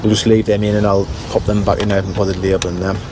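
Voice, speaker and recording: A man's voice: sits low, flat delivery, racing. A man giving a casual, matter-of-fact repair walkthrough, thinking aloud as he works. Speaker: intercom repair engineer presenting his work. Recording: loud traffic and outdoor street noise.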